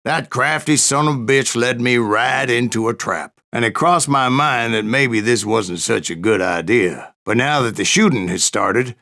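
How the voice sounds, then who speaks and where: A man playing a grizzled old American gunslinger in a video game, telling tall tales with a folksy, wry, gravelly drawl. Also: mid-range voice, a little slow, few pauses, bright, forceful tone. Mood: dramatic storytelling. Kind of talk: video game character narration.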